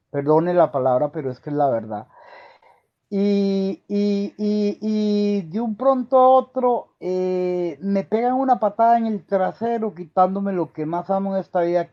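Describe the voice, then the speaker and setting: A man with a Colombian accent calls into a live stream and speaks slowly and earnestly. He often stammers and repeats words, then presses on with pointed rhetorical questions. His voice is fairly high but mellow, and it comes through dull lo-fi call audio. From Colombia, raised in Costa Rica. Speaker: caller. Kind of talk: emotional call-in testimony.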